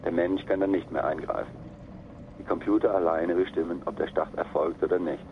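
A man's voice: sits low, fairly even intonation, fairly quick. A man giving a plain, explanatory documentary account. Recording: tinny phone-like band, faint noise.

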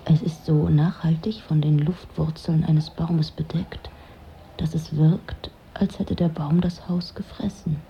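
A woman's voice: deep voice, level tone, a little slow, vocal fry, highs cut off, slight background noise. An actress playing a disillusioned, sardonic middle-aged woman, delivering a dry, brooding literary monologue in a radio drama. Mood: brooding, reflective.

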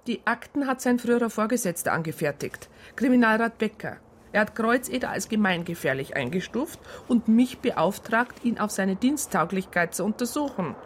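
A woman's voice: a little high, expressive, moderate pace. An actress playing an indignant police psychologist who states her complaint in precise, formal words in a radio drama. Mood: reporting, accusing. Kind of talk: radio drama narration and dialogue.

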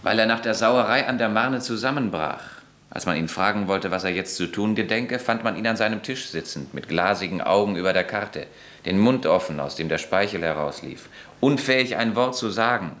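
In a roomy, echoing interior, a man plays a confident young staff officer in a radio drama. His voice is low, and he speaks with clipped authority and guarded emphasis. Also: fairly quick, fairly bright tone, close to an everyday voice. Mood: indignant. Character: grown son, confident German staff officer, guarded and forthright. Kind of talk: radio play dialogue. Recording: roomy, reverberant studio interior.

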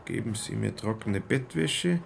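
A self-important young tradesman in a radio drama. He speaks in stilted, careful diction, low and gravelly, with faint noise behind him. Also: expressive, unhurried, exaggerated voice. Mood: studious, halting. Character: self-important young German tradesman practising travel phrases. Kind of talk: radio play acting.

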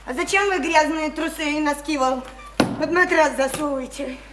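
A woman's voice: high-pitched, moderate pace, echoey room, natural voice. An actress playing a simple-hearted, devoted woman near thirty in a radio play, imploring and naively repeating herself. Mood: naive, coaxing. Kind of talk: theatrical radio play acting. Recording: faintly noisy 1991 radio play recording.

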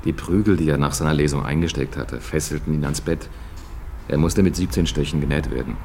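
An actor playing a grim storyteller, narrating violence in a scripted drama. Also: male voice, rumbling low, brisk, a little mellow, slight background noise. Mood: grim, ominous.

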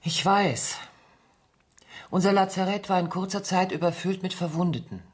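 A woman's voice: lowish voice, normal speed, slightly rough. An actress in a German radio play, playing a proud, sardonic accused woman spy with a theatrical delivery. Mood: defiant.

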